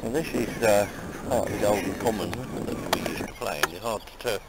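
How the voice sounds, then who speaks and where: A man around eighty walking outdoors and pointing out places from his childhood, in a halting, wandering way. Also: fairly low pitch. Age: about 80. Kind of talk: on-location reminiscing. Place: outdoors on a common. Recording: loud outdoor noise and passing traffic.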